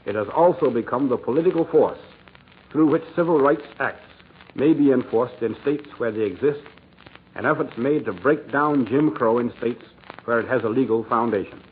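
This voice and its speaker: A man delivering formal scripted narration for 1940s radio in a measured, declamatory way. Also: medium pitch. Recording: scratchy, tinny 1940s radio recording.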